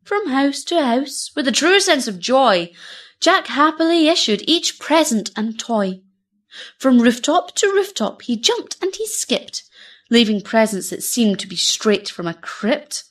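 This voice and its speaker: A woman narrating a storybook poem in a theatrical, rhythmic and measured manner. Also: sits high, sing-song.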